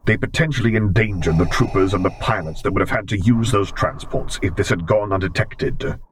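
A menacing armored dark lord, performed by a male reader for an audiobook. He rumbles low and growls his orders in slow, clipped, imperious phrases. Faint noise sits behind the voice. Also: close to an everyday voice. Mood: stern. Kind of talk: audiobook character voice.

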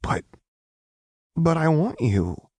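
A narrator voicing a fragile, childlike young woman in a story, with a halting, pleading manner. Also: mid-range voice, very animated, darkish tone, no room sound, pitched above the performer's own voice. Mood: simple devotion. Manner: halting, stammering, simple and pleading.

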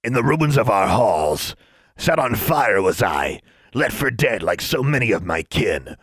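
A man's voice: on the low side, big pitch swings, a little slow, breathy edge, no room sound, clean studio sound, voice pushed up, exaggerated voice. A man voicing an embittered, scarred male dwarf who declaims in old-fashioned, inverted phrases. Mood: bitter.

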